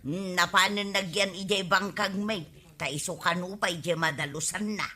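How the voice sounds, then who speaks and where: A woman's voice: lowish voice, fairly even intonation, normal speed, bright, forceful tone. A woman playing a cheerful, showy village wife in an Ilocano radio comedy drama, exclamatory and boastful, with an Ilocano accent. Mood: breezy.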